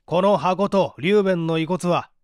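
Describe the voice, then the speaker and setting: A man's voice: high voice, normal speed, clear, resonant tone, no room sound, clean studio sound, close to an everyday voice. A man voicing an indignant, haughty young man in a storybook reading, stiffly polite and challenging. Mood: stern, demanding.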